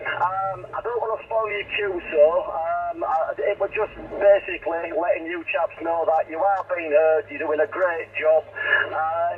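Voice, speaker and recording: An older man talks over CB radio in a slightly high voice. He is courteous and effusive, full of operator jargon. The signal is tinny and distant, with some noise. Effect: CB radio transmission. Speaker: amateur radio operator and driver on the road.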